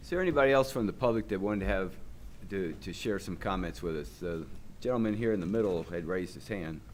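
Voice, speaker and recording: A man chairing a public commission meeting, speaking in a measured, deliberate way. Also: low-pitched, slight background noise. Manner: measured, deliberate, directs the room. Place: large meeting room.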